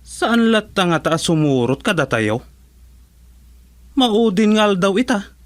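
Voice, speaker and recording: A man's voice: high-pitched, very animated, slow, dry recording, exaggerated voice. A man with a Filipino accent acting as an easygoing, talkative village council candidate in a comedy radio drama, full of exclamations. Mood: conversational.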